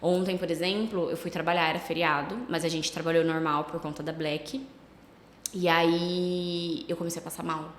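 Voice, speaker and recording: A Brazilian woman with a slight cold, a travel agency owner chatting casually as a podcast guest, relaxed and full of fillers. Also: on the low side, normal speed, a little hiss or hum. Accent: Brazilian Portuguese. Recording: echoey room with long reverb.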